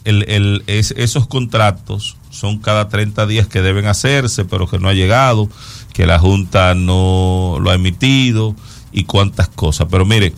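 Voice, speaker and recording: A man with a Dominican accent giving conversational news commentary as a talk show host, explaining things and repeating words for emphasis. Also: lowish voice.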